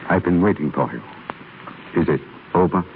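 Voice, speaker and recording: Solemn preachy fatherly guy, deep voice, scratchy old radio play, muffled. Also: vocal fry. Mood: gentle, grave. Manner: measured, reverent, preacherly. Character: solemn, devout fatherly stonecutter, gentle mentor. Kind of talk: 1930s radio drama acting.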